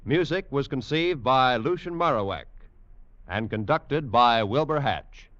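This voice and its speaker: A man's voice: mid-range voice, expressive, a little slow, heavy background noise. A man with an American accent reading scripted credits as a 1940s radio announcer. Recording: muffled, dry 1940s radio with music.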